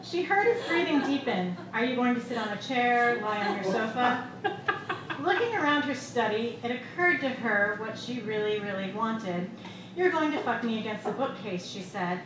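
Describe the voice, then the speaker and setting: A middle-aged woman reading her own short fiction aloud at a live event, in a measured, expressive storytelling delivery. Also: a little high, crowd noise. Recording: echoey bar room with loud background noise.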